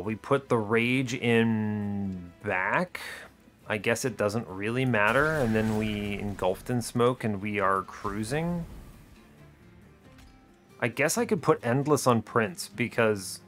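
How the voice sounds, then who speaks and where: A man's voice: lowish voice, a bit bright. A man hosting a gaming video, thinking aloud through his strategy in casual, wry commentary made of short, colloquial remarks. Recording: music behind the voice. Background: game music.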